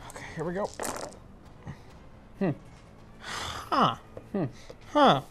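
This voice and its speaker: A man's voice: high-pitched, big pitch swings, slow pace, a bit bright, slightly rough. A man playing a tabletop role-playing game, bantering casually and declaring moves.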